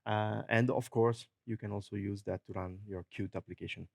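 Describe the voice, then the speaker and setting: A man with an Italian accent giving a technical conference talk, joking often, chuckling at his own jokes and filling pauses with many uhs. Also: fairly low pitch, fairly even intonation, gravelly, darkish tone. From Italy. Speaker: conference presenter. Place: conference hall.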